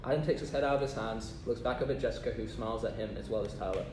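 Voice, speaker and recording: A man's voice: on the low side, rapid-fire. A man reading stage directions aloud from a script. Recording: echoey, distant room with noise.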